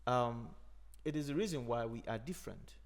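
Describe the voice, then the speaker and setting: A man from Nigeria, an Igbo speaker, talking in a thoughtful, unhurried way as a podcast host. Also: medium pitch, animated. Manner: thoughtful, unhurried, frequent "you know". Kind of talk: reflective conversation.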